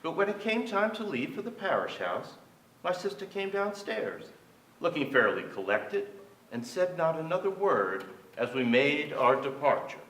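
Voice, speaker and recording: Man acting a bright, animated storyteller. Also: fairly high pitch, moderate pace, a little hiss or hum. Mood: narrating, tense. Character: storyteller recalling tense family scenes. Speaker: stage actor in a staged reading. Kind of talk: theatrical literary narration. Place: theatre stage. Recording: echoey theatre, far from mic.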